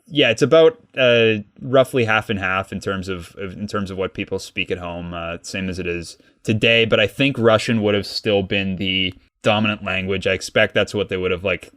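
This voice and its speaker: A man's voice: lowish voice, steady tone, moderate pace, hardly any pauses, fairly bright tone, no echo, studio quality. A man co-hosting a podcast, explaining history casually with many fillers and restarts. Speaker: podcast co-host, guest expert.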